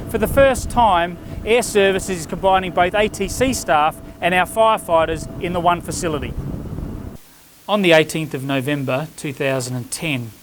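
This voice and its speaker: A middle-aged Australian man presenting scripted corporate narration in a formal, measured way. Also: a little high, background noise. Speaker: executive presenter.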